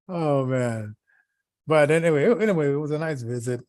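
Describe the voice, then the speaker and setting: A man co-hosting in casual, rambling chat, halting as he searches for words and repeats himself. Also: fairly high pitch, a little mellow, dry recording, studio quality. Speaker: podcast co-host.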